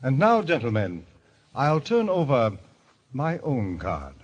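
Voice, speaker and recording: An actor playing a suave, sinister gentleman who runs a deadly gambling club, formal and coolly courteous, in old-time radio drama style. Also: medium pitch, wildly swooping pitch, takes it slowly, slight background noise. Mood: calm, formal. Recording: muffled vintage radio recording.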